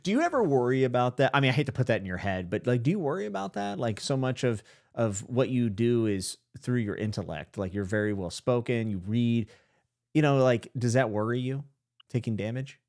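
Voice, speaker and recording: A man's voice: mid-range voice, lively intonation, a little fast, a little mellow. A man hosting a casual livestream podcast, rambling and self-deprecating, laughing often. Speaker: podcast host.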